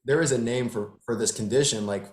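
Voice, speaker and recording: A man's voice: mid-range voice, fairly quick, echoey room. A man in his thirties, a guitar student in a one-on-one consultation, agreeable and mostly brief in his replies. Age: 30s.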